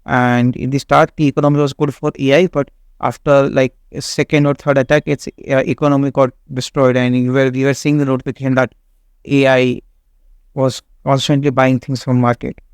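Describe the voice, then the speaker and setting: A man, not a native English speaker, hosting a game walkthrough in a halting way with many fillers and restarts. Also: mid-range voice, level tone, smooth, dry recording. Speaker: gaming channel host. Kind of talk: casual game walkthrough commentary.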